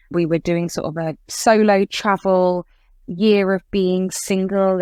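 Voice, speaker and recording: A woman with an English accent, in her thirties, talking casually as a podcast guest. Her voice sits a little low, and she strings her thoughts along with easy fillers. The sound is close and dry. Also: no echo. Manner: chatty, fills with "like" and "I guess". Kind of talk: casual interview chat.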